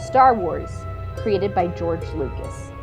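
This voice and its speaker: A woman's voice: fairly low pitch, expressive, slow. A woman reading scripted credits as an announcer. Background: music.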